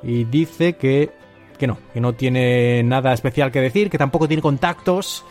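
A man's voice: mid-range voice, measured pace, darkish tone, soft background music. A man from Spain with a Castilian Spanish accent, hosting a solo podcast and telling stories in a conversational way. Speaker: podcast host. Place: at home.

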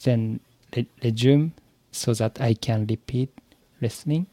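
A man with a Japanese accent, a native Japanese speaker, giving careful, halting interview answers in English as a podcast guest and learner. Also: medium pitch, warm tone, no room sound. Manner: hesitant, searching for words, halting phrases.

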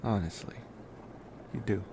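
A man playing a tender, smitten young college vampire in an intimate ASMR roleplay, speaking softly and hesitantly with affectionate pauses. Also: background noise, close to an everyday voice.

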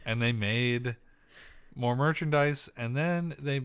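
A man's voice: mid-range voice, slow, fairly bright tone, clear, resonant tone, no room sound. A man co-hosting a casual movie podcast, telling a long rambling story with jokey asides while losing his voice. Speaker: podcast co-host.

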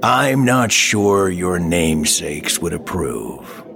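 A stern old miser speaks low and slowly, curt and haunted. It is noir radio drama with music underneath. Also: male voice, sing-song, a bit bright, heavily acted. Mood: grave, world-weary. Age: older.

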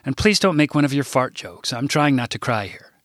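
A man's voice: mid-range voice, lively intonation, fairly quick, a bit bright, no echo, studio quality, lightly acted. A man voicing a fussy, emotional older woman assistant who frets over swearing and fights back tears. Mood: tearful. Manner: fussy, tearful, prim about swearing.

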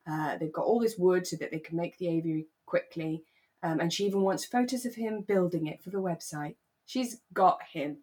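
A woman co-hosting a podcast, recapping in relaxed, conversational chat and agreeing readily. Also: lowish voice, normal speed, slightly rough. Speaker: podcast co-host. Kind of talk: relaxed, casual chat recapping a radio drama.

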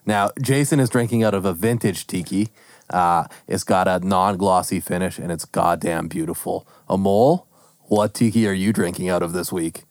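A man with a very low voice co-hosts a casual podcast, joking and teasing his partner and swearing freely. The sound is dry and close. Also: normal speed, darkish tone, dry recording. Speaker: podcast co-host. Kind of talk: casual chat.

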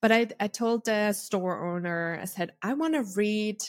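A woman's voice: mid-range voice, expressive, normal speed, clear, resonant tone. Enthusiastic Austrian-accented woman host. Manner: enthusiastic, laughs often. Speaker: podcast host and coach. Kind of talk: casual interview chat.

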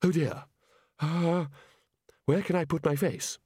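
A man's voice: fairly high pitch, fairly even intonation, takes it slowly, dark tone, breathy edge, no echo, studio quality, voice pushed up. A man voicing a muddled, flustered old wizard lecturer who hesitates and bumbles through his words.

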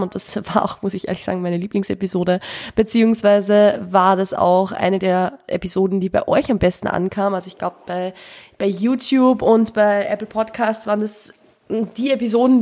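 A woman's voice: medium pitch, a little mellow. Nasal woman with a head cold. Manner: chatty, self-deprecating, frequent fillers and restarts. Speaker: podcast host. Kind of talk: solo podcast talk.

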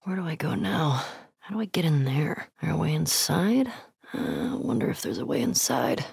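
A young American survivor in a naturalistic video game style. Her voice sits a little low and is husky, recorded very dry. She is tough and sardonic, muttering casually and swearing freely. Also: animated, moderate pace, darkish tone, dry recording, exaggerated voice. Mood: hushed, tense. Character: tough, wry, foul-mouthed young woman survivor.

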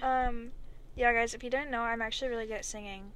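A woman's voice: a little high, fairly even intonation, fast, fairly bright tone. A teenage girl co-hosting a casual podcast with friends, giggly and chatty with lots of ums and likes. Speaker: podcast co-host. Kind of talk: casual chat among friends.

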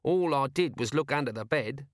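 A man's voice: medium pitch, expressive, normal speed, dry recording, studio quality, voice pushed up, lightly acted. A man voicing a scruffy, naive police corporal in an audiobook, colloquial and always questioning. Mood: doubtful, puzzled. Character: scruffy, artless, sceptical low-ranking male police corporal.

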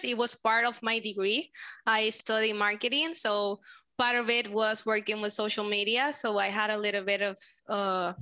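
Bright-voiced Puerto Rican woman. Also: fairly high pitch. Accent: Puerto Rican Spanish. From Puerto Rico. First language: Spanish. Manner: searching for words, frequent fillers. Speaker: social media manager, guest. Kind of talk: casual interview answers.

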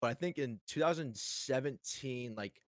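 A man's voice: mid-range voice, normal speed, dry recording, clean studio sound. A man who streams, chatting easily as a guest on a podcast. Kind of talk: casual interview chat.

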